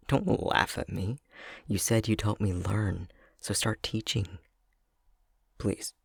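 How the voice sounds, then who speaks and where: A young man playing a clumsy, self-conscious fox-shifter boyfriend in an intimate ASMR roleplay, speaking hesitantly with a soft, breathy, gravelly voice. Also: fairly low pitch, fairly even intonation, slow pace, no room sound, clean studio sound, heavily acted. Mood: sheepish, teasing. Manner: hesitant, stammering, self-deprecating.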